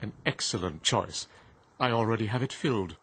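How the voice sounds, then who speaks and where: An actor playing a courteous, servile robot butler with a polite, formal manner in a radio drama. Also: male voice, lowish voice, expressive, moderate pace, fairly bright tone, slight background noise.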